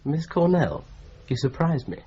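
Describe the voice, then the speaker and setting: A man's voice: a little high, sing-song, normal speed, dark tone, breathy edge, exaggerated voice. An actor playing a wry, lightly ironic male solicitor in a radio drama. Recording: dull lo-fi broadcast recording.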